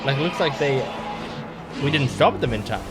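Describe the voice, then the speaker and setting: A man's voice: mid-range voice, lively intonation, moderate pace. A man giving casual, conversational commentary as he plays through a video game. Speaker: gaming reaction streamer. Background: game music.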